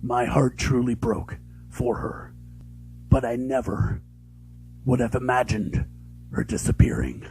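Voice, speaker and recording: A man playing a sorrowful, sympathetic small-town sheriff, giving a measured, solemn dramatic narration. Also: medium pitch, sing-song, vocal fry, darkish tone, breathy edge, soft background music, full character voice, rough recording. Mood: somber, regretful.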